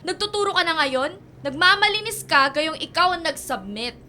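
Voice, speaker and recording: A woman's voice: very high voice, animated, normal speed, pushed and bright, faint music behind, heavily acted. A woman acting as a harsh, scolding office boss with a Filipino accent in a radio drama. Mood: angry, accusing. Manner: scolding, demanding. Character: harsh, accusing female office boss berating staff.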